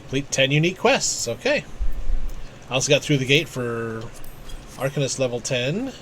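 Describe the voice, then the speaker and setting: A man's voice: mid-range voice, sing-song, moderate pace, a bit bright, slight background noise. A man giving casual live commentary as he plays a game, easygoing, thinking aloud in fragments and reading out on-screen text. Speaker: game streamer playing and commenting. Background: video game music.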